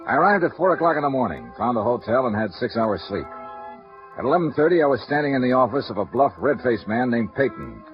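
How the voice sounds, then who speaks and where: A man's voice: mid-range voice, sing-song, fast, lightly acted. An actor playing a wry, cool-headed male freelance insurance investigator who also narrates, in a 1950s radio drama. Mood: narrating. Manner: dry, laconic, clipped replies. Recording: muffled vintage radio broadcast with music behind.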